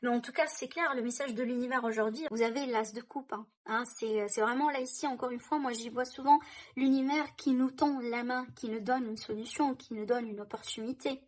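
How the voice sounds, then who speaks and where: A woman's voice: a little high, steady tone, brisk. A woman doing a tarot reading for her viewers, speaking in a reassuring way, often checking in with "hein" and "d'accord". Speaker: tarot reader.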